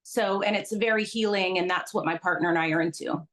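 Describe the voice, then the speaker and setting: A woman's voice: on the low side, fairly even intonation, fairly quick, a bit bright, slight background noise. A Belgian-born woman, a business owner, earnestly explaining her work in a casual interview over a video call.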